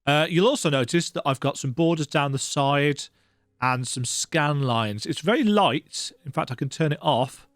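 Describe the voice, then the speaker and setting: Higher-voiced man chatting through a game. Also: normal speed, a bit bright, dry recording, clean studio sound. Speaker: video game streamer. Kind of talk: casual gameplay commentary.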